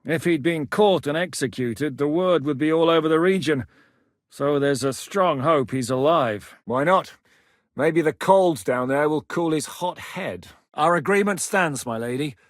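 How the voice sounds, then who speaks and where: An actor playing a gruff, blunt, sweary rebel leader in a video game, giving curt orders. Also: male voice, on the high side, unhurried, dry recording, studio quality, close to an everyday voice. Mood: commanding. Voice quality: gruff, commanding. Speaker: game character.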